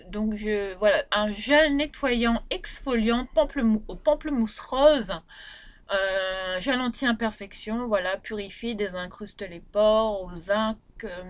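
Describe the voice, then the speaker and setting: A woman with a Réunion Creole French accent, chatting casually as she reviews beauty products in her bedroom, rambling with lots of fillers and restarts. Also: medium pitch. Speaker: beauty vlogger.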